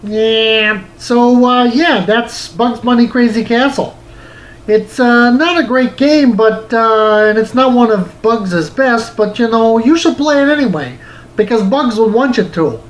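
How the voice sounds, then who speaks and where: A man's voice: extremely high pitch, measured pace, poor audio. A man hosting a game review show, talking casually and a little hesitantly to his viewers in a conversational way. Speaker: host of a retro video game review show. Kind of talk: casual unscripted sign-off to viewers.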